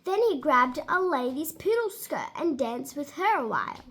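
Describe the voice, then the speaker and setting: A woman reading a children's storybook aloud in an expressive, deliberate way for young listeners. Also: high-pitched, slight background noise.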